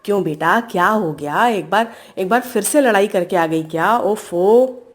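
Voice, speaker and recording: Casting: female performer as gentle older mother, lower soft delivery, concerned and mildly reproving, family story. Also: measured pace, natural voice. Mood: weary, concerned.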